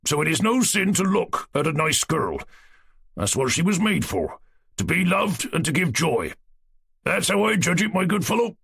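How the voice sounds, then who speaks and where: A man reading aloud voices a loud, teasing old Cossack man who speaks emphatically. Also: a little high, very animated, normal speed, vocal fry, husky, pitched above the performer's own voice, heavily acted. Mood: boisterous.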